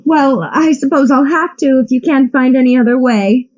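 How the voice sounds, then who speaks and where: A woman's voice: high-pitched, moderate pace, a little mellow, highs cut off. A woman playing a polite, hesitant client speaking over the phone in a radio play. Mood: obliging.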